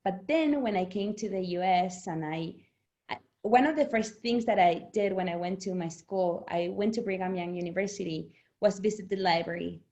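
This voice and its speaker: A middle-aged woman from Argentina, a native Spanish speaker, talking as an author guest in an interview, animated and laughing often. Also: mid-range voice, normal speed. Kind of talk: conversational interview.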